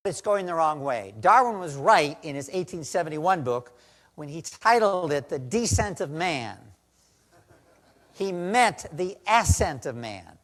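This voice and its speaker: A man's voice: fairly high pitch, lively intonation, unhurried, fairly bright tone. A man giving a recorded lecture in a preachy way, full of rhetorical questions. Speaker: lecturer in a played video.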